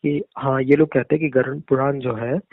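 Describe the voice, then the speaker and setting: A man's voice: mid-range voice, fairly even intonation, brisk, slightly rough, no room sound. A man calling in for a casual phone conversation, speaking agreeably with repeated affirmations and somewhat rambling phrasing. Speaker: caller. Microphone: phone call. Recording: muffled narrow-band phone call recording.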